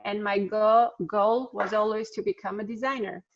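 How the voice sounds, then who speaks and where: A woman's voice: medium pitch, fairly even intonation, moderate pace. A middle-aged woman from Armenia with an Armenian accent, chatting casually on a video call, with frequent ums and little laughs. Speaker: alumna speaker. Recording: slightly dull video-call audio.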